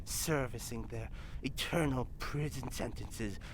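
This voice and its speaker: A woman playing a menacing, bloodthirsty demon in the shape of an old lady, making theatrical, ominous pronouncements in audio fiction comedy. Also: unhurried. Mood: ominous relish. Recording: low rumbling background noise.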